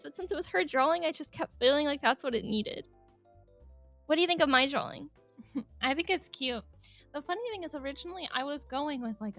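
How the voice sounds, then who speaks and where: A woman casually narrating her drawing process over a speedpaint, chatty and giggly, rambling with lots of filler words, speaking higher than her usual voice. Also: no room sound. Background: soft background music.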